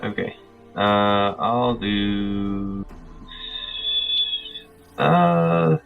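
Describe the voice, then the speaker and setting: Man, deep voice, gravelly fry, hesitant casual player, thin phone-like audio. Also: animated, extremely slow. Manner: hesitant, unsure. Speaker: player in a tabletop roleplay game. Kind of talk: casual chat. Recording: muffled phone-like voice line, faint music.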